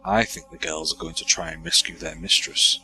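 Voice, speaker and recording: Brief: an eager male occult expert, low and bright-toned, lively delivery. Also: a little fast, creaky, soft background music, full character voice, poor audio. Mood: explaining. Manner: lively, explaining. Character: sharp-minded male investigator of demons, eager. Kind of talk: audio drama acting.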